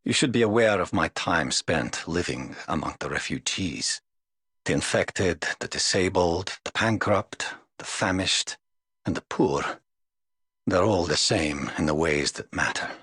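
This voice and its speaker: A world-weary knight of few illusions, speaking in a very low, husky register. His lines come out measured and dry. The studio sound is close and dead. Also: lively intonation, fairly bright tone, no echo, heavily acted. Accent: English. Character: weary aloof middle-aged male knight. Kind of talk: game voice lines.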